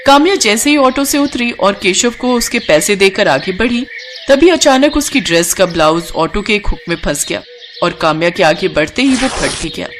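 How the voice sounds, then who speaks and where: A woman's voice: mid-range voice, lively intonation, normal speed, noisy background. A woman reading scripted story narration as the storyteller.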